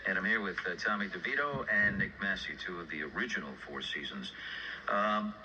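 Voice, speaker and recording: A man's voice: low voice, brisk, noisy background. A man hosting a radio interview and introducing records on an old broadcast tape. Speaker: radio host interviewing musicians. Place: radio studio.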